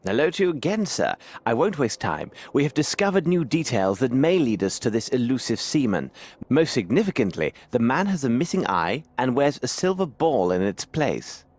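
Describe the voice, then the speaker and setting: An actor playing a self-assured, inquisitive British gentleman detective in a video game, with formal, precise speech. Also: mid-range voice, sing-song, normal speed. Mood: confident.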